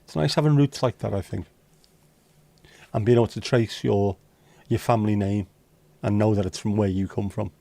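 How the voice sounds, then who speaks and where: A man's voice: on the low side, steady tone, normal speed, gravelly, warm tone, no room sound. A man hosting a casual livestream, reading out chat messages and teasing viewers with jokey, lightly sweary banter. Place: home livestream setup.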